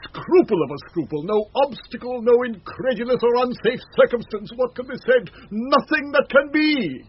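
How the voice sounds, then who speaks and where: An actor with an English accent playing a pompous, self-deluded steward in a classic radio Shakespeare play, declaiming in a haughty, affected way. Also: male voice, sits high, slightly rough, heavily acted. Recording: muffled, noisy 1970s radio recording.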